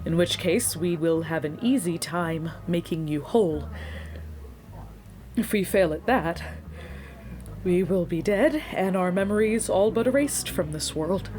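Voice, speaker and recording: A woman's voice: fairly low pitch, a little slow, darkish tone, a little hiss or hum, natural voice. An actress playing an earnest, anxious woman in an audio drama, speaking in formal, wordy sentences with careful diction. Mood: resolute.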